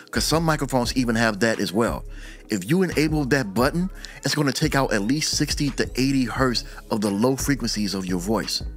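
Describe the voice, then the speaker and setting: A middle-aged man, an audio engineer, talking casually to camera about recording vocals, repeating words as he goes. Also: medium pitch. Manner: relaxed, talks with his hands, repeats words as he goes. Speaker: audio engineer and channel host. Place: small room at home. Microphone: desk mic on boom arm.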